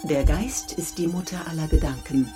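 An actress playing a cold female machine voice that announces procedures in flat, clipped lines, with music behind. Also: sits low, moderate pace, natural voice. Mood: announcing.

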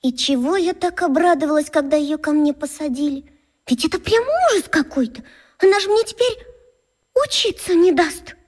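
A woman's voice: high voice, moderate pace, lightly acted. An actress voicing an earnest, anxious straight-A schoolgirl of about eleven in a radio play. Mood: fretful. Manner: anxious, rule-abiding.

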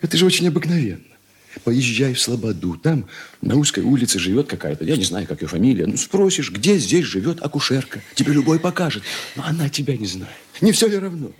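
A weary young merchant's son from a Soviet radio play. His voice is husky and very animated in pitch, moving between impatient dismissal and pleading. He sounds irritable and evasive. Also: a little fast. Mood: hushed coaxing.

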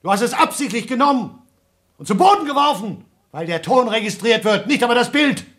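A man's voice: very high voice, lively intonation, fairly quick, fairly bright tone. A man playing a suspicious astronaut doctor in a German radio play, formal, insistent and on edge. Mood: agitated, accusing.

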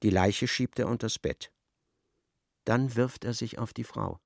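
A man's voice: medium pitch, a little slow, vocal fry, no echo, clean studio sound. A man acting as the detached narrator of a grim literary radio drama, telling it in a matter-of-fact way.

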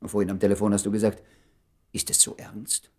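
An actor playing a concerned, frank doctor and old friend in a German radio drama, familiar and wryly probing. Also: male voice, lowish voice, monotone, brisk, warm tone, lightly acted. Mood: probing, hesitant.

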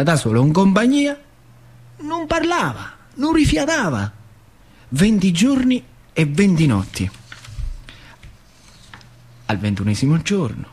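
A man with a central Italian accent from northern Lazio, performing an animated, colloquial theatre monologue in working-class dialect, repeating phrases for emphasis. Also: medium pitch, slightly rough. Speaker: actor and storyteller. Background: music.

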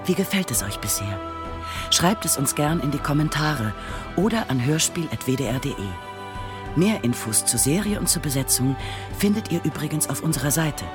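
A man announces in a scripted outro style. His pitch is average for a male voice. Music plays clearly behind him.

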